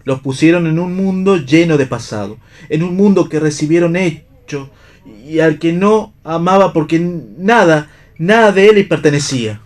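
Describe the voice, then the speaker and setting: A man's voice: on the high side, animated, normal speed, a little mellow, lightly acted. A man acting a philosophical vagabond husband in a play reading for radio, with declamatory, literary phrasing that is tender, bitter and ironic. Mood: bitter, reproachful.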